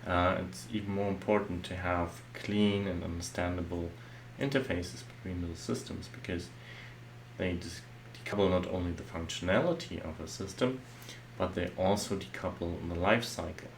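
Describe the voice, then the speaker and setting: German guy with a low voice walking thru slides, lots of "well" and "uh", bit of hiss behind. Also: normal speed. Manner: loose and conversational, many "well" and "uh" fillers. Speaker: software architect presenting. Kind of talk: explanatory slide talk.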